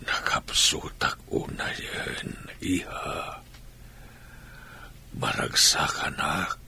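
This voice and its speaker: An actor playing a frail, ailing old Filipino father in an audio drama, tender and weak, with a breathy whispering voice. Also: rumbling low, expressive, very slow, pushed and bright, exaggerated voice. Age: older. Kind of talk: Ilocano audio drama acting. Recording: background noise behind the voice.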